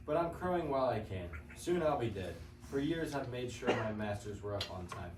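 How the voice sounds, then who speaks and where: A man's voice: medium pitch, lively intonation, fairly quick, lightly acted. Teen boy as a proud rooster, echoey room. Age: teenager. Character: proud, doomed farmyard rooster, boastful crower. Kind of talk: reader's theater script reading. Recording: echoey noisy classroom, mic at a distance.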